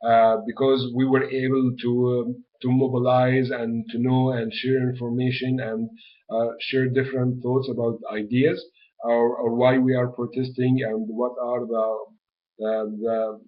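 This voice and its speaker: A man's voice: mid-range voice, little pitch movement, measured pace. A young man from Egypt, a graduate student answering interview questions hesitantly, with lots of fillers and restarts. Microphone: webcam mic. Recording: dull lo-fi webcam audio.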